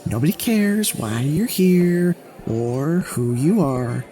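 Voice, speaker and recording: A man's voice: a little high, lively intonation, slow pace, mellow. An actor playing an anxious male scientist on the run, paranoid and rambling, stammering when flustered. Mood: nervous. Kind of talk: amateur audio drama acting.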